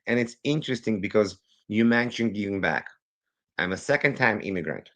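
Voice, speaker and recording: A man with a low voice talks as a guest over a remote call. English is not his first language. He tells his story energetically, sometimes speeding up and drifting off on tangents. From Israel. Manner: animated, rushes through some phrases, digressive. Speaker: guest, cybersecurity consultant. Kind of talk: conversational interview storytelling. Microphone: remote recording, virtual call.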